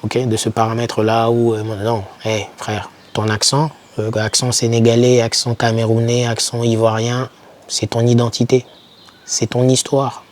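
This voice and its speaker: A man's voice: medium pitch, flat delivery, normal speed, a little hiss or hum. A young man giving informal motivational advice to camera, speaking emphatically and repeating key words to encourage the listener. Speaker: YouTuber advising foreign students.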